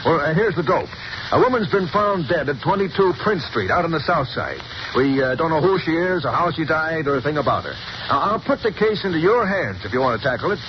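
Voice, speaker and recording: A brusque, harried police commissioner played by a man, barking orders and hemming, slightly high-pitched. A dull old radio broadcast with steady hiss. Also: a little fast, natural voice. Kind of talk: 1930s radio drama acting.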